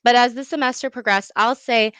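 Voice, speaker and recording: A woman's voice: a little high, brisk, fairly bright tone, smooth, no room sound. A young woman speaking as an interview guest, earnest and effusive about her own work. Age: young adult. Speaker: guest, student playwright. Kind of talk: enthusiastic interview talk.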